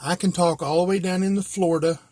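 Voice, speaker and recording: An American man from West Virginia talking casually and informally as he shows things, pausing to think aloud. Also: sits high, steady tone, darkish tone, dry recording. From West Virginia, USA. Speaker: hobbyist vlogger. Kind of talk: casual show-and-tell.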